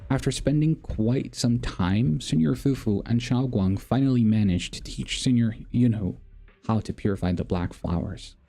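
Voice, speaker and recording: A man's voice: medium pitch, moderate pace, creaky, dark tone, faint music behind. A man streaming a game, reading its story text aloud in a dramatic way with the odd stumble.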